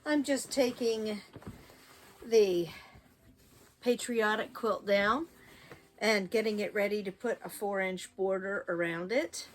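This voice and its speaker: A woman's voice: medium pitch, slow pace, pauses often. An older woman with a US East Coast accent hosting a quilting tutorial, chatty and encouraging. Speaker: quilting channel host.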